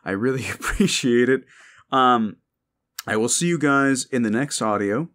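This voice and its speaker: A man's voice: medium pitch, measured pace, fairly bright tone. Casual, rambling man hosting his channel. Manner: rambling, earnest. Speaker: channel host and voice actor. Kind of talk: casual unscripted chat to listeners.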